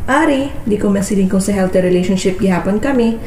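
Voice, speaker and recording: A woman's voice: mid-range voice, quick pace, a little mellow, close to an everyday voice. A woman playing a hardworking, caring young girlfriend in an amateur radio drama, earnest and conversational, with a Western Visayan Filipino accent. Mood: concerned, resigned. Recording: roomy echo, faint background noise.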